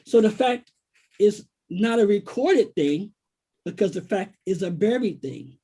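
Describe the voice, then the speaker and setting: An American man, a writer, speaking reflectively and haltingly in a literary conversation, repeating phrases for emphasis. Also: high voice, animated, mellow, husky. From Washington, D.C., United States. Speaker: poet and writer, guest author.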